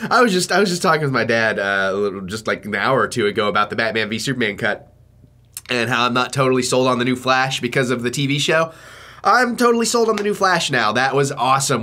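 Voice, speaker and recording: A young man with a stuffy head cold and a fading voice, gushing excitedly in a casual reaction video from a small home studio. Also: medium pitch. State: stuffy, losing his voice from a cold. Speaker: trailer reaction vlogger.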